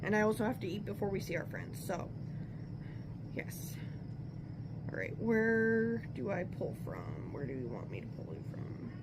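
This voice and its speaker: A woman with a blocked-up nose from a cold talks through her crafting in a loose, rambling way, often breaking off mid-thought. Her voice sits at an ordinary middle pitch. A clear hiss of noise runs under the home recording. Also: sing-song, normal speed. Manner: chatty, rambling, self-interrupting. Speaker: crafting vlogger. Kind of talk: casual talk while crafting.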